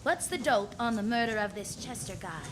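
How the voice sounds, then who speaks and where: A woman's voice: a little high, lively intonation, fairly quick, music in the background, lightly acted. A woman playing a wry, flirtatious, self-assured private eye in the style of 1940s radio drama, full of slangy quips. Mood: teasing. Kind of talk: 1940s radio drama acting with first-person narration.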